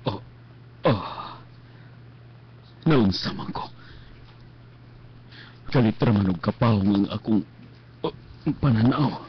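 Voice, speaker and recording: An actor playing a gentle, ailing old father with a weak, halting delivery in a radio drama. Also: fairly low pitch. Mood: dizzy, faint. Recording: muffled lo-fi stream, clear background noise.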